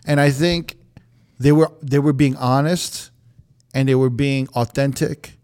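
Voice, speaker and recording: A man's voice: mid-range voice, fairly even intonation, measured pace, darkish tone, clean tone. A young man hosting a podcast, chatting loosely with lots of fillers and swearing. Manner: loose, profane, lots of "like" and "you know". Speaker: podcast host. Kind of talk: casual podcast chat.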